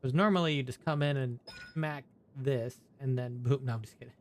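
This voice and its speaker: A man streaming a game, giving offhand, low-key commentary and muttering through on-screen text as he reads. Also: steady tone, fairly quick, slight background noise. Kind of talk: casual gameplay commentary. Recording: game music playing behind.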